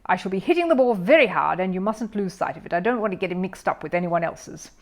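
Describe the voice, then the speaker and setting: A woman's voice: lowish voice, expressive, quick pace. A woman reading a storybook as a grumpy, bossy, short-tempered male golfer with a blustering, growling manner. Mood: gruff, bossy.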